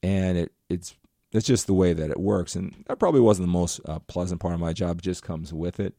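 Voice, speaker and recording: A man's voice: sits low, brisk, creaky, mellow, dry recording, clean studio sound. A middle-aged man talking straight to his viewers in a rambling, earnest motivational monologue. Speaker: motivational vlogger.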